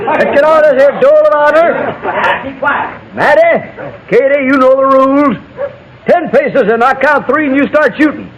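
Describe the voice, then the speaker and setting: A man's voice: extremely high pitch, moderate pace, long pauses. An actor playing an eager, folksy Old West townsman with colloquial rural speech, in an old radio drama. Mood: calling out. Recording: dull vintage radio, loud crowd behind.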